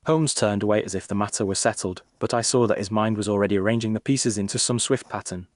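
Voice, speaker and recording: A man reading a Victorian detective story in a measured, formal, literary manner. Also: on the low side, no room sound. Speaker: narrator.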